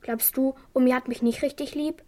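A woman's voice: high-pitched, steady tone, moderate pace, dark tone, close to an everyday voice. A female performer playing a defiant, bitter twelve-year-old girl in a radio drama, blunt and stubborn in manner. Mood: defiant, hurt. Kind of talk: German radio crime drama acting.

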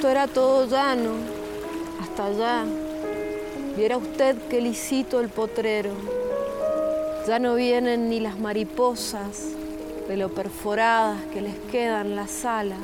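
Brief: woman reading as a stubborn old-style countryman, flat slow delivery, loud music and rain behind. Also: on the high side. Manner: rural countryside speech, plain and stubborn. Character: weathered rural countryman clinging to his ruined land. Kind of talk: poetic recitation over music.

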